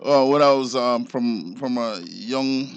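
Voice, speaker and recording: A man with a Belizean accent giving an informal interview as an enforcement officer, explaining things haltingly and repeating words. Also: medium pitch, clean tone, muffled recording.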